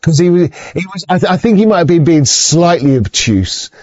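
A man's voice: a little high, expressive, fairly quick, darkish tone, dry recording. A man hosting a livestream in a casual, rambling monologue, swearing constantly and restarting his sentences. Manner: heavy swearing, frequent stammered restarts. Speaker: livestream host. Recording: slightly muffled dry mic.

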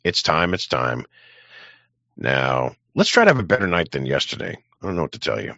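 A man live-streaming in casual chat, rambling bluntly and swearing, with a gravelly, husky voice. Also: very deep voice, very animated, brisk, sharp tone, no room sound. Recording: dull, close, dry microphone.

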